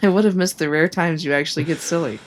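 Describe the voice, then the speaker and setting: A woman's voice: deep voice, fast talker, natural voice. A woman playing a supportive, teasing female soldier in a sci-fi audio drama, talking casually. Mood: playful, teasing.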